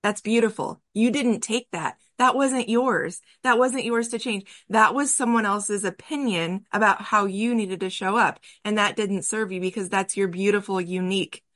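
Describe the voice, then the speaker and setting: A woman's voice: mid-range voice, normal speed, few pauses, a bit bright, no room sound, studio quality. A woman hosting an interview podcast, talking in an earnest, encouraging and emphatic way about workplace experience. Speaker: podcast host. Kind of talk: interview conversation.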